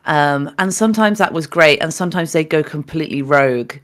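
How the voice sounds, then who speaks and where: A young woman from England co-hosting a casual podcast, talking loudly and excitably, set farther from the mic than her co-host. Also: low voice, a little fast. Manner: loud, excitable, with frequent laughs.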